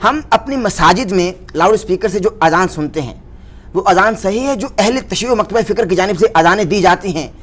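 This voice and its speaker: High-pitched man hosting formally. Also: expressive, a little fast, fairly bright tone. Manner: flowery, deferential, declamatory. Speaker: podcast host and interviewer. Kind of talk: formal, rhetorical interview introduction and questioning.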